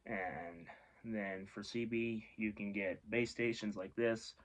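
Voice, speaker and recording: Low-pitched man rambling casually. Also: slow pace. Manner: rambling, self-correcting, offhand. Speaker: host of a radio hobby video series. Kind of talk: casual informal explainer.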